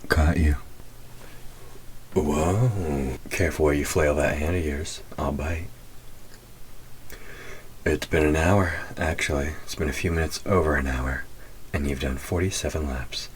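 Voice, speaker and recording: A man's voice: very deep voice, measured pace, long pauses, a little hiss or hum. A man playing a taunting, predatory demon who trains a human hunter, in an audio roleplay spoken to the listener, teasing and menacing. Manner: teasing, menacing, drops into whispers.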